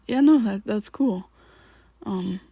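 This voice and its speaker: A woman in an ASMR roleplay, talking to the listener as a worried best friend, halting and rambling, often sinking into a soft whisper close to the mic. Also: medium pitch, breathy edge, dry recording. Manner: hesitant and rambling, halting restarts.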